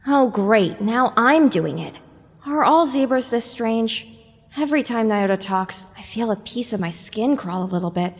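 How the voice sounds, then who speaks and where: A woman's voice: fairly high pitch, lively intonation, moderate pace. A woman voicing a young, self-conscious little pony filly with wry, exasperated muttering in an audio drama, with echoing reverb. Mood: bemused.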